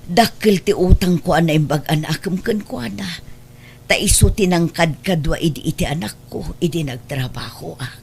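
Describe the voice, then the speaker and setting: A woman's voice: fairly low pitch, moderate pace, vocal fry, a little mellow, a little hiss or hum. A woman playing a poor, humble, worried mother in an audio drama, speaking plaintively and anxiously. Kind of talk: Ilocano audio drama acting.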